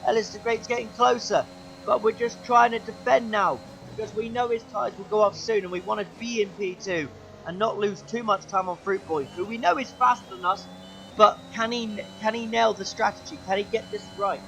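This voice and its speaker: A young man commentating his own sim race, his voice going hoarse, excitable and shouting at the big moments. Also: sits high, normal speed, slight background noise, low-quality recording. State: losing his voice. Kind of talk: gaming commentary.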